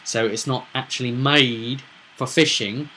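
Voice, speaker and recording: A man's voice: medium pitch, steady tone, unhurried, sharp tone, slight background noise. A man talking casually as a game master, rambling through an explanation with emphatic points and rhetorical questions. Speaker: game master recapping a tabletop roleplay session. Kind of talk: casual explanatory vlog monologue.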